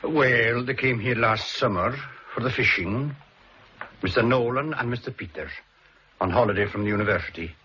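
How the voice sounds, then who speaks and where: A man's voice: mid-range voice, lightly acted. An actor playing an island fisherman. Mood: plain. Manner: plain, unhurried island speech with "aye". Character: island fisherman, plain-spoken and kindly. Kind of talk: 1960s radio drama acting. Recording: dull old broadcast recording with faint hiss.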